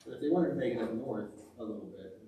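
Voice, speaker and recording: A man's voice: medium pitch, animated, quick pace, dark tone. A man on a township zoning commission, speaking bluntly and agreeing often during a public meeting discussion. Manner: blunt, opinionated, agrees often with short "yeah". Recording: echoey meeting room from a distant mic.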